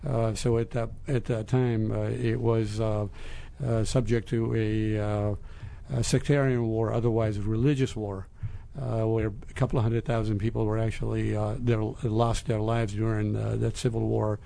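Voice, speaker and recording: A man in his fifties from Lebanon, answering interview questions in a halting, measured way. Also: on the low side, level tone, gravelly, dark tone. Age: 50s. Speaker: interview guest, business executive.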